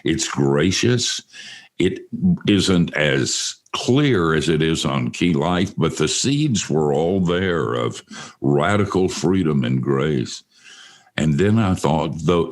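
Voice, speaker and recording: An older man with a deep voice hosting a casual studio chat, telling stories with self-deprecating humor. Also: vocal fry. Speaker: host.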